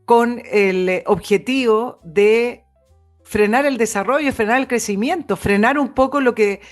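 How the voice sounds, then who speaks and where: A Chilean woman co-hosts a political talk show with a fairly high voice. She lays out her opinions earnestly, explaining and repeating phrases to stress them, with faint music in the recording. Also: measured pace. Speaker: co-host and political commentator.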